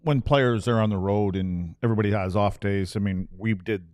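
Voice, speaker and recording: A man's voice: on the low side, a little fast, a little mellow, no room sound, clean studio sound. A man, middle-aged or older, chatting casually as a sports podcast co-host.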